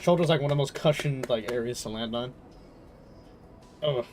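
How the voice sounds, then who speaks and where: A man livestreaming a game and chatting casually with viewers in a jokey, animated storytelling manner. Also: mid-range voice, normal speed. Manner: animated storytelling, jokey, swearing, laughs often. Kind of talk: casual chat with viewers while gaming. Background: game music.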